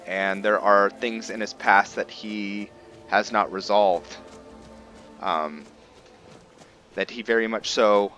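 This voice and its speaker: A man giving casual, reflective commentary over a roleplay video game, thinking aloud in a halting way. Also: fairly low pitch, bright, forceful tone. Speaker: gaming host.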